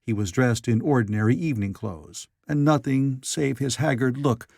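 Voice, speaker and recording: A man's voice: mid-range voice, normal speed, gravelly, a little mellow, dry recording, clean studio sound. A man reading classic literary fiction aloud as a scripted audiobook narrator.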